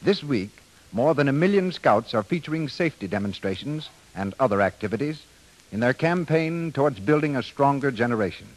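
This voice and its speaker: A man announcing on 1930s radio in a formal, measured, scripted reading style. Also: medium pitch, lively intonation, rough recording.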